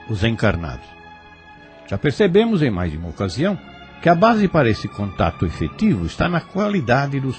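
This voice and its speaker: Animated, formal Brazilian male commentator. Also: medium pitch. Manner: formal, didactic. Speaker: commentator explaining the drama. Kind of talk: scripted spiritual commentary. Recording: faint music behind voice.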